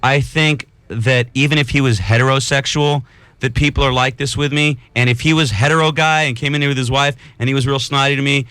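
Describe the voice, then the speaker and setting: Bright-voiced man hosting late-night radio. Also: mid-range voice, fairly quick, smooth, dry recording. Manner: rambling, animated, tells made-up anecdotes to make a point. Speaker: radio co-host. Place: radio studio. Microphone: studio microphone.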